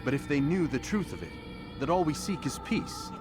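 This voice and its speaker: A man's voice: fairly high pitch, big pitch swings, moderate pace. An actor playing a brooding, questioning young medieval assassin in a video game, terse and formal in his speech. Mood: doubting. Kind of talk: video game drama acting. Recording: loud game music under the voice. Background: video game music.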